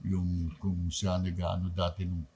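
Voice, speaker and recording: A man's voice: low-pitched, completely flat delivery, a little slow, mellow, husky. A man with a Filipino accent chatting casually as a radio drama talent being interviewed.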